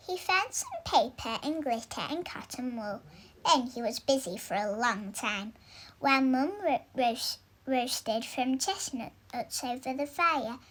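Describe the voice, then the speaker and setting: A young woman reading a children's story aloud in an expressive, deliberate way, drawing out words for emphasis. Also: sits high. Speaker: storybook reader.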